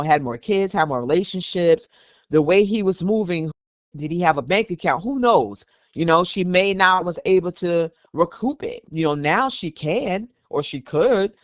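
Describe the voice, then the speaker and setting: A man's voice: high voice, dry recording, clean studio sound. A man hosting a podcast, reading documents aloud and giving opinionated, conversational commentary. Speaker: podcast host.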